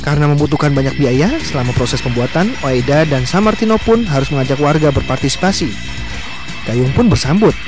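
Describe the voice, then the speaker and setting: A man's voice: fairly high pitch, brisk. A man reading a scripted news voice-over as a reporter. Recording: music bed under voice.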